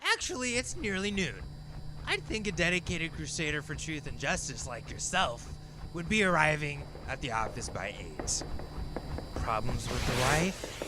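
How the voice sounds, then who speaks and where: An actor playing a menacing, theatrical rich heir who swings between sweetness and mockery in an audio drama, recorded with amateur noise. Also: male voice, a little high, animated, moderate pace, natural voice. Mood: mocking. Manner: mocking, grandiose, theatrical.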